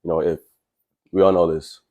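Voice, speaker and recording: A man's voice: low voice, level tone, moderate pace, warm tone. A man who works as a welder, talking casually and frankly to camera, his voice stuffy from a cold. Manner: earnest, plainspoken and frank. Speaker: welder and tradesman vlogger.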